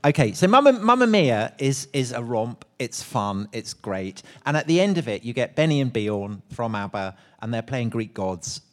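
A man co-hosting a live talk, speaking in an animated, emphatic way with mock indignation. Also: medium pitch, moderate pace, hardly any pauses. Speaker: podcast co-host at a live show. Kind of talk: lively live conversation.

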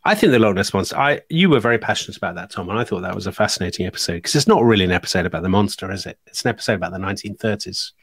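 A man's voice: low-pitched, animated, racing, hardly any pauses, a bit bright, breathy edge, dry recording, studio quality. A middle-aged man co-hosting a podcast in casual, chatty conversation, wry and fond of tag questions. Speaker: podcast co-host. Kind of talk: casual chat, reading listener comments.

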